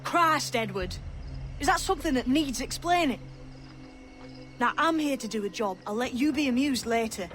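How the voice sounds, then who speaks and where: A woman's voice: high-pitched, expressive, normal speed, long pauses, fairly bright tone, slight background noise. An actress playing a brash, tough young pirate disguised as a man in a video game, blunt and defiant. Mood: brisk, businesslike. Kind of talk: video game cutscene acting.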